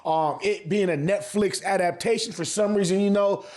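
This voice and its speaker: A man's voice: high-pitched, steady tone, moderate pace, darkish tone. A man hosting a casual anime review, talking in heavy slang and profanity, repeating phrases for emphasis. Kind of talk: casual hype review commentary.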